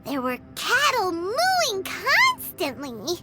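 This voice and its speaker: A woman voicing a tiny, excitable, chatty girl fairy companion in a video game, speaking in exclamations. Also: very high voice, takes it slowly, heavily acted. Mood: curious. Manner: refers to self in third person, exclamatory. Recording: loud game music behind.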